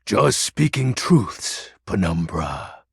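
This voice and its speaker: An actor playing a melodramatic, grandiose yet awkwardly tender shadow lord in a video game, speaking pompously with a raspy, breathy voice. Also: male voice, lowish voice, sing-song, takes it slowly, no echo, clean studio sound, exaggerated voice. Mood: tender, solemn. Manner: theatrical, pompous, archaic wording. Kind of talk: video game character voice acting.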